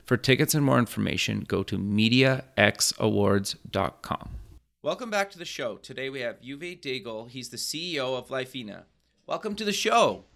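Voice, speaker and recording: A man's voice: medium pitch, expressive, normal speed, vocal fry, fairly bright tone. A man hosting a podcast and radio show, presenting and interviewing. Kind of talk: scripted show intro, then casual interview.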